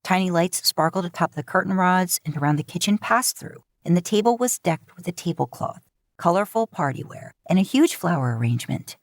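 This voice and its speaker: A woman narrator reads fiction aloud in a fairly low voice. Her intonation is very lively and expressive, and the sound is close and dry. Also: fairly quick, dry recording. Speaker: podfic narrator, podcast host. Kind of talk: expressive scripted story narration.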